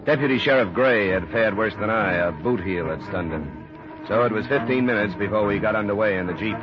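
An actor playing a tough, sober private investigator, terse and matter-of-fact, in a 1950s radio drama. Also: male voice, lowish voice, a little fast, lightly acted. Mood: grim. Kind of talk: 1950s radio drama, first-person hardboiled narration and dialogue. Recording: muffled vintage radio recording with music.

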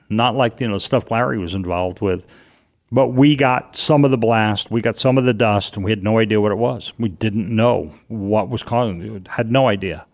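A middle-aged man with a loud voice, telling a personal story as an interview guest, animated and vivid, acting out what people said. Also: lowish voice. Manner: vivid, animated retelling with acted-out quotes.

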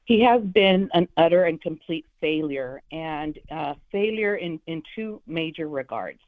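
A woman from Los Angeles County, a prosecutor running for office, speaking firmly and deliberately as a radio guest. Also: deep voice, expressive. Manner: firm, measured, with frequent "uh" fillers. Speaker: prosecutor and political candidate. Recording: phone line.

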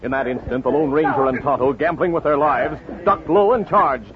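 A man's voice: mid-range voice, moderate pace, crowd noise. Emphatic old-time radio man narrator. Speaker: announcer and narrator. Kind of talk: dramatic 1950s radio narration. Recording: tinny, noisy 1950s radio recording.

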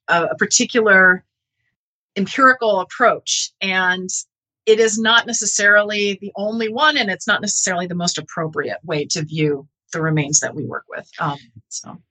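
A woman's voice: mid-range voice, pushed and bright, poor audio. A middle-aged woman, a researcher interviewed as a guest, speaking in an earnest, reflective and emphatic way. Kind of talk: thoughtful interview talk.

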